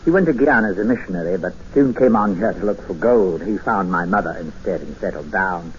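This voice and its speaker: An actor in his sixties playing a lonely, possessive old man in a 1950s radio drama, soft-spoken and insistent. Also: low-pitched, fairly quick, lightly acted. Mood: quietly insistent. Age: 60s. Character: lonely older man, softly possessive and menacing. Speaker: isolated jungle rancher. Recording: muffled 1950s radio broadcast with hiss.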